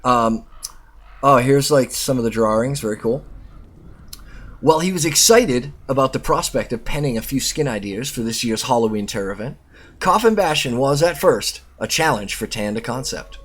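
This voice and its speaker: A man with a slightly rough, husky voice sitting at an average male pitch. He reads an article aloud as the host of a video, casual in delivery, and tends to interrupt himself with informal, sweary remarks. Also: animated, normal speed. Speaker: YouTube host and reviewer.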